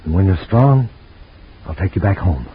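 A man's voice: sits low, expressive, normal speed, slight background noise. A man voicing an anguished husband in an old radio drama, pleading and emotional in a 1940s acting style. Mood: tender. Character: anguished guilt-ridden husband. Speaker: lead actor. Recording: dull lo-fi old radio recording.

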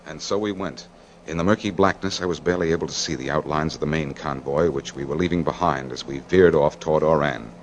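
A man playing a war correspondent who gravely recounts a battle in dramatic first-person radio narration. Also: extremely low pitch, a little fast, a little hiss or hum. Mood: grave, tense.

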